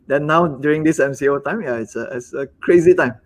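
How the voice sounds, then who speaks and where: A man with a Malaysian English accent, a business owner talking in a live webinar conversation, halting and repeating words as he acts out quotes. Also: fairly high pitch.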